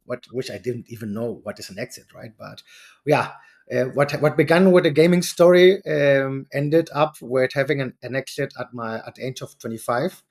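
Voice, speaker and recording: Chilean-guy in his 40s, choppy english, lots of restarts and little laughs, middle pitch. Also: a little mellow. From Chile and Germany. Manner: non-native English, halting restarts, chuckles often. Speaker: guest, venture capitalist. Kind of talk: casual interview talk.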